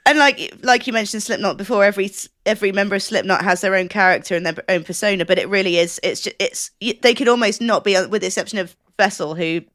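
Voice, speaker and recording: A woman with a mid-pitched voice talks casually as a podcast co-host. She is emphatic in her opinions and swears freely. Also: fast, few pauses, a bit bright. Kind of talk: casual chat.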